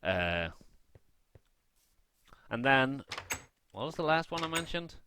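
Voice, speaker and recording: A man giving a casual, rambling review as a gaming vlogger, unscripted and halting, thinking aloud as he goes. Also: mid-range voice, sing-song, background noise.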